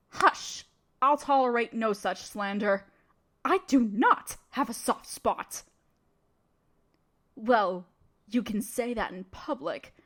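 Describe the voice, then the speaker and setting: A high, very expressive female voice from an actress plays a scheming evil empress. She is imperious and conniving, with a playful streak. Her lines swoop up and down theatrically, as in a fantasy audio roleplay addressed to one listener. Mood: defensive, imperious.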